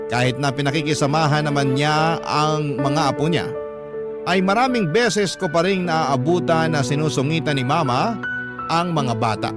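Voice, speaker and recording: A troubled parent confiding family woes voiced by a man in a radio drama. The voice is fairly high and plaintive, measured in delivery, with prominent music underneath. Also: natural voice. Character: troubled adult child and parent narrating family woes in a letter. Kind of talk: first-person dramatized letter narration in radio drama.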